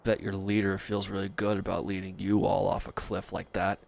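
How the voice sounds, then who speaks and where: Man acting a gravelly, bitter defeated villain. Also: low-pitched, monotone, normal speed, darkish tone, a little hiss or hum. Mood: sardonic, accusing. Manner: sardonic, accusing, weary. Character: bitter wounded villain, grieving and accusing. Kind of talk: scripted audio roleplay addressed to the listener.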